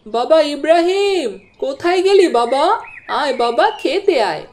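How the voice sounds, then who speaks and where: A woman's voice: high voice, lively intonation, unhurried, darkish tone. A woman voicing a doting, fussing village mother in a cartoon, coaxing and chiding as she talks. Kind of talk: Bengali cartoon voice acting.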